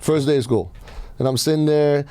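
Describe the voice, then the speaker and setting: Blunt man, mellow and dry-sounding, telling stories. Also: a little high, normal speed, clean tone, no echo. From Iran. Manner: blunt, frequent swearing. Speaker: podcast host. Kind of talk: casual personal storytelling on a podcast.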